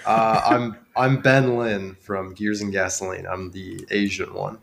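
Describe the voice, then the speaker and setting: A man's voice: on the low side, flat delivery, a little slow. A man with a nasal, congested voice from a cold, chatting casually and dryly as a podcast guest. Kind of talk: casual chat. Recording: clearly noisy background.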